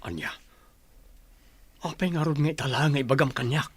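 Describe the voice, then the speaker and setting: A man's voice: fairly high pitch, normal speed, slightly rough, no echo. A man acting a betrayed husband and loving father in a radio drama, earnest and emotional. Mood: shocked, hurt.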